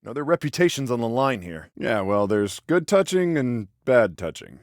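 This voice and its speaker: Casting: exasperated older-brother cop in a video game, sarcastic, by-the-book, protective, mid-pitched with lively swings, close dry studio sound. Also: male voice, normal speed, no room sound. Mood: alarmed, exasperated. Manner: sardonic, exasperated, conversational with hesitations. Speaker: police officer character in a video game. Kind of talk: video game voice acting, one side of phone conversations.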